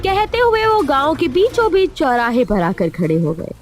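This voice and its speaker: A woman's voice: sits high, huge pitch swings, moderate pace, slight background noise. A woman narrating a scripted cartoon moral story as a storyteller.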